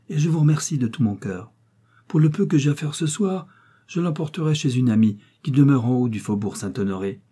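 A man's voice: mid-range voice, mellow, husky, pitched above the performer's own voice. A man voicing a sly, chattering woman neighbor who talks in a fussy, pleading, effusive way.

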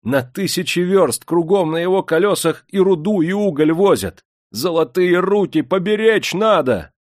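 Storybook country priest played by a male reader: bony zealot pleading with heat, pitched well up. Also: animated, moderate pace, dry recording, studio quality. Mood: urgent protest. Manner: urgent, fervent pleading. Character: tall bony village priest, fervently protective.

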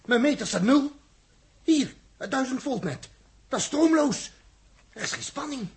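A man's voice: very high voice, expressive, measured pace, slightly rough, exaggerated voice. An actor playing an agitated, hot-headed male spaceship technician in a 1960s radio drama, stammering and emphatic. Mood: worried. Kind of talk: 1960s Dutch radio science-fiction acting. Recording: slightly noisy vintage 1961 radio recording.

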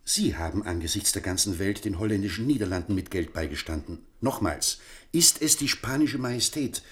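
An actor playing a formal, anxious Spanish ambassador in a German radio play, courtly, measured and insistent. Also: male voice, lowish voice, animated, lightly acted.

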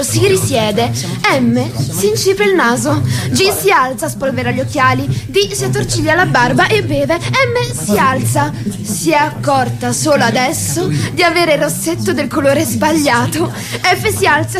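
An actress narrating a political radio play as a watchful observer, in clipped, precise scripted lines. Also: female voice, high-pitched. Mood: observant.

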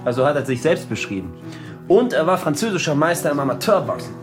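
An actor playing a self-important, provocative performance artist with a pompous, teasing manner in a radio drama. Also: male voice, on the high side, sing-song, fast. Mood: grandiose, declamatory. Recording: music under the dialogue.

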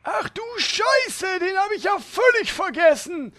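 An extremely high, bright cartoonish adventurer, blunt and scatterbrained, drawing words out slowly. The lines swing up and down in a comic fantasy radio play. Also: male voice, big pitch swings, exaggerated voice. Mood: startled. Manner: exclamatory, casual, blunt. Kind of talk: comedic fantasy audio drama parody.

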